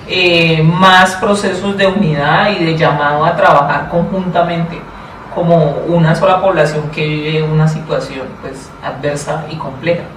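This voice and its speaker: A woman with a Colombian accent answering interview questions in a thoughtful, deliberate way, often repeating and rephrasing herself. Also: lowish voice. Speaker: interview guest, public education official. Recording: roomy, distant mic, faint noise.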